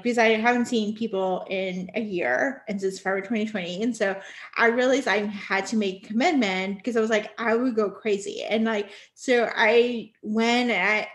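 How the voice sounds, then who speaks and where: Bubbly woman host with higher voice. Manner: bubbly, giggly, rambling with "like" and "you know". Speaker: podcast host. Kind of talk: casual chat.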